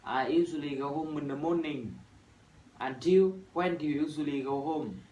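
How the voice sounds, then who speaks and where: A twenty-one-year-old university student from Vietnam with a Vietnamese accent, reciting an English practice dialogue in a measured, rehearsed way with small fillers. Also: male voice, fairly high pitch, dark tone. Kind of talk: scripted classroom dialogue practice. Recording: echoey room from far off, faint noise.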